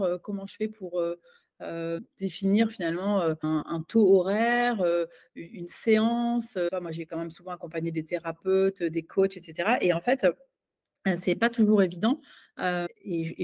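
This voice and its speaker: A woman's voice: lowish voice, a little mellow. A woman giving an interview as a coach and author, talking in a hesitant way with many fillers and restarts. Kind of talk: casual conversational interview.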